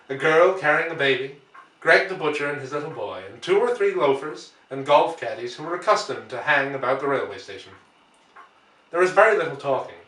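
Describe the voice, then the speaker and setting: A man narrates literary prose in a measured, deliberate way. His voice is bright and forceful at an ordinary pitch. The recording is roomy and echoing, picked up some way from the microphone. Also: recorded from a distance. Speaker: audiobook reader.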